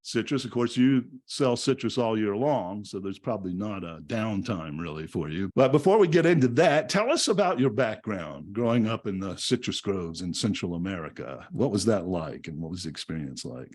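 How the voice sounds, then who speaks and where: A male podcast host reads a scripted introduction and then chats with his guest. His voice is slightly husky, and his pitch rises and falls a lot as he leans on key words. He delivers it all in an emphatic, upbeat way. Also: normal speed, hardly any pauses. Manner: lively, emphatic delivery with laughs.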